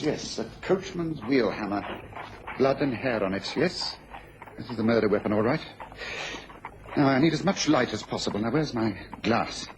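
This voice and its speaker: Man acting detective, English accent, animated intonation, incisive, authoritative, observant, radio drama, faint noise. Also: medium pitch, lightly acted. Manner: incisive, muttering deductions, authoritative. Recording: noisy old radio recording.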